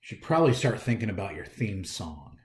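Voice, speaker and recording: A man's voice: fairly low pitch, very animated, fairly quick, a little mellow. An American man hosting a storytime, reading a picture book aloud in a theatrical way and talking directly to young listeners. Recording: roomy, echoey indoor sound.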